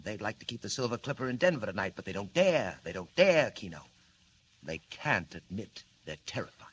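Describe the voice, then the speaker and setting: A man's voice: lowish voice, very animated, brisk, a bit bright, husky, exaggerated voice. An actor playing a scheming, mocking male villain mastermind in 1940s radio acting, with smug, stiff, formal phrasing.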